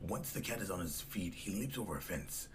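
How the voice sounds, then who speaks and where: A man's voice: low voice, lively intonation, brisk, a little hiss or hum. A man reading scripted, descriptive narration for a comic dub.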